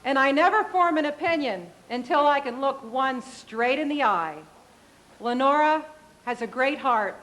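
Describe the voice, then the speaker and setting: A woman's voice: high-pitched, lively intonation, a little slow, fairly bright tone, rough recording. A woman giving a short, rousing political speech at a party event, speaking directly to the crowd.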